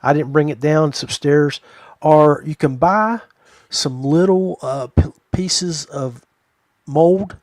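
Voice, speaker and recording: A man hosting a podcast in a casual, folksy, halting way. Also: a little high, a little mellow, dry recording, clean studio sound. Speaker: podcast host.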